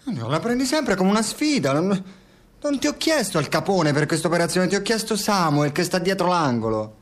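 An actor playing a frustrated, foul-mouthed scientist in an Italian radio drama, sarcastic and given to profane ranting. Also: male voice, high-pitched, very animated, fairly quick. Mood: angry, sarcastic. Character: frustrated, foul-mouthed male scientist turned reluctant playwright. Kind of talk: Italian radio theatre acting.

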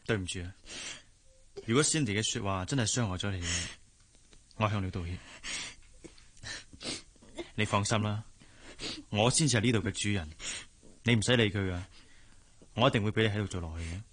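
An actor playing a protective, well-off young employer in a radio drama, earnest and considerate, with a Hong Kong accent. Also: male voice, lowish voice, a little fast, pauses often, a bit bright. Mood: reassuring. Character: kindly young wealthy gentleman, protective employer. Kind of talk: Cantonese radio drama acting.

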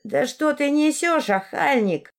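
A woman's voice: mid-range voice, big pitch swings, moderate pace. A woman voicing a grumpy, folksy old village crone in a fairy-tale audiobook, grumbling and snapping in rustic dialect. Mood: offended, snappy.

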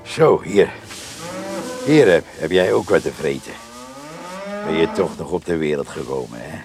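Gruff rustic farmhand, low voice, slow rambling, animated melody, radio play. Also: male voice, music in the background, heavily acted. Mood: gruff, fond. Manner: rustic, rambling, talking to himself. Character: gruff, chuckling farmhand muttering to livestock. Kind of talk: radio drama acting.

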